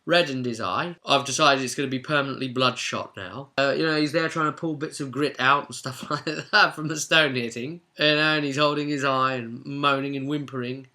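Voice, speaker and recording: A British man with a bright, forceful voice at a middle pitch tells the story of a tabletop role-play session. He talks as the game master, chatty and animated, in a casual recap style. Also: brisk, hardly any pauses.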